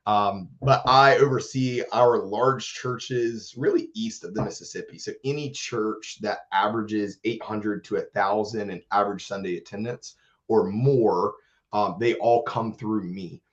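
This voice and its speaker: A man with a hoarse voice, recovering from illness, earnestly presenting in a church webinar. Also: on the low side, steady tone, measured pace. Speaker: church outreach manager. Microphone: video call. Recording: dull lo-fi webinar audio.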